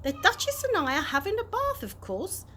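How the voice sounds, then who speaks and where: A woman voicing a cheerful, eccentric old male tramp in a storybook, bright, polite and unbothered. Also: extremely high pitch, wildly swooping pitch, moderate pace, slight background noise, voice pushed up, exaggerated voice.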